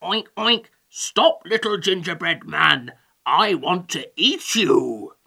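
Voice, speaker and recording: A woman's voice: fairly low pitch, lively intonation, slow, gravelly, pushed and bright, breathy edge, exaggerated voice. A woman voicing a hungry, blunt storybook pig that oinks and demands. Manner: oinking, blunt.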